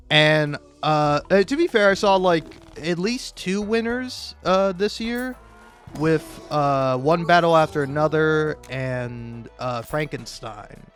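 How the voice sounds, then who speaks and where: A man's voice: on the high side, a bit bright, clear, resonant tone. An American man from Florida rambling casually as a gaming creator, hesitant and self-deprecating, often stammering and repeating words. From Florida, United States. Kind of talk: casual rambling chat over gameplay. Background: music.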